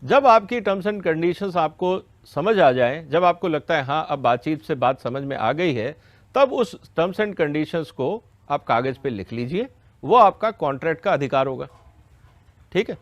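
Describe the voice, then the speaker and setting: A man's voice: a little high, expressive, measured pace, dry recording, muffled recording. A man giving an educational question-and-answer explanation, didactic and step by step, often checking that listeners follow. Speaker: expert explaining a law to farmers.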